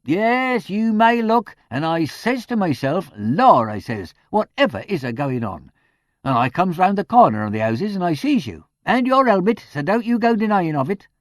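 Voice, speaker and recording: A man doing a chatty old charwoman, high and lively, dry sound. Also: normal speed, dry recording. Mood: chattering. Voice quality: broad, chattering, indignant. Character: gossipy old village charwoman, talkative and proud.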